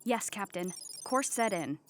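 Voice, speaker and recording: A woman playing an earnest, literal-minded starship officer in a comedic sci-fi play, dutiful but quick to get defensive. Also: medium pitch, expressive, fairly bright tone, a little hiss or hum.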